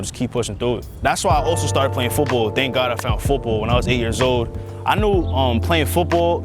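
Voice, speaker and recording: A young man with a New York accent, a high school football player talking in a documentary interview in a laid-back, slangy way. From Queens, New York. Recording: loud background music.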